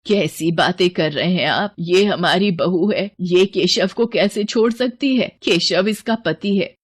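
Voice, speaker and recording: A woman with a husky voice voicing an anxious, protective mother in an animated story, pleading and reproachful. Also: fairly low pitch, a little slow. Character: anxious middle-aged mother, loving and protective.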